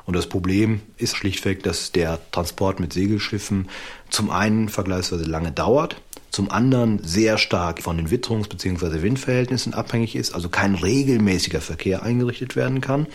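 A man's voice: lowish voice, moderate pace. A man speaking as an expert interviewee, explaining history in an interview style.

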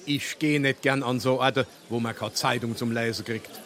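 A man's voice: mid-range voice, steady tone, normal speed, fairly bright tone, lightly acted. A man playing a self-important, lecturing middle-aged newspaper fanatic with a Hessian accent in a dialect comedy. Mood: grumbling, self-satisfied. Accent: Hessian, Darmstadt dialect. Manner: pompous, lecturing. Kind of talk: dialect stage comedy acting.

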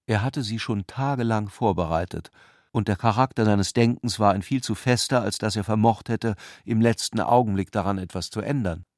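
A man narrating literary prose for a radio play in a measured, precise manner, recorded in a studio. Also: on the low side, a little mellow, dry recording. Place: dry studio.

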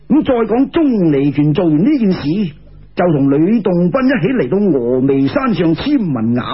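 Very husky, high-pitched male Cantonese storyteller. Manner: colloquial, expressive, with conversational particles. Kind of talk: traditional folk-tale storytelling narration. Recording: dull, lo-fi radio-like recording.

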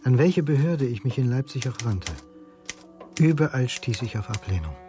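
A sombre man played by an actor narrates in the first person with clear music underneath. His mid-pitched voice is reflective and sorrowful, in radio drama style. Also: expressive, normal speed, lightly acted. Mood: sombre recollection. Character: sorrowful Icelandic man recalling his lost lover.

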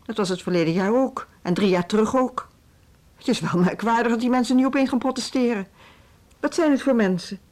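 A woman with a Netherlandic Dutch accent playing a firm, put-upon music school head in a radio drama, brisk and argumentative. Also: on the high side, a little mellow, lightly acted. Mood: exasperated. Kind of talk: naturalistic radio play dialogue.

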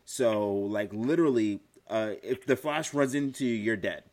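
A man's voice: on the low side, moderate pace, darkish tone. A man giving emphatic opinions in a casual chat about TV shows.

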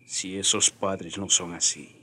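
Stern old chief dad in a radio play, real slow raspy low voice, commanding. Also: male voice, fairly even intonation, bright, forceful tone, full character voice. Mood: stern, measured. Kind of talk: radio drama acting.

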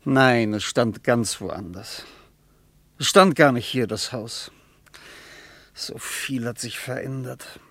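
A slow, hesitant traveler. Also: male voice, mid-range voice, a bit bright, no room sound. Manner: hesitant, reflective. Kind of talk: radio play acting.

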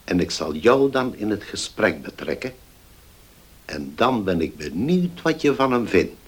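An elderly uncle in a radio drama, fond and shrewd. His deep, husky voice moves expressively up and down as he confides. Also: very animated, unhurried, exaggerated voice. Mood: fond, confiding. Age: older. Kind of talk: radio play acting.

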